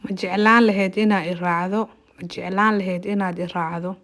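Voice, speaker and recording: A woman's voice: medium pitch, fairly even intonation, brisk, a bit bright. A native Somali-speaking woman teaching a language lesson, translating phrases and explaining individual words.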